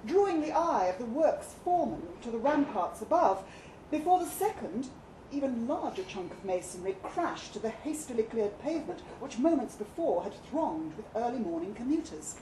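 A woman reading a short story aloud at a live event, with expressive, deliberate and theatrical narration. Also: fairly high pitch. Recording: echoey live room, background noise.

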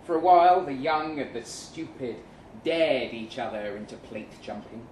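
A man performing a live short-story reading as a weary, jaded agent, speaking deliberately with dramatic pauses. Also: mid-range voice, a little mellow, natural voice. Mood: grave, reflective. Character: weary, jaded male agent narrating his own story. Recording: roomy live venue, some noise.

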